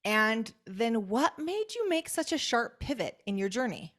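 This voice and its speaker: A woman's voice: fairly high pitch, big pitch swings, unhurried, a bit bright. A woman hosting an interview show, enthusiastic in her welcome and asking questions with a few ums and sort ofs. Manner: enthusiastic, uses fillers like um and sort of. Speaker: podcast host.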